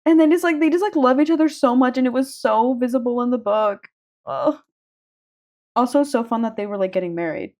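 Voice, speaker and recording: Casting: woman with a fairly high voice, effusive podcast co-host, informal and conversational. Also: fairly quick, a little mellow. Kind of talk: casual book chat.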